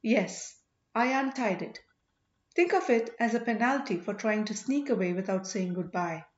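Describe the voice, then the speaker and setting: A woman's voice: medium pitch, expressive, moderate pace, lightly acted. A woman voicing a proud, strong-willed and devoted young princess in an audiobook story. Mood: firm. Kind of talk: audiobook character dialogue. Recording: roomy, slightly dull home recording.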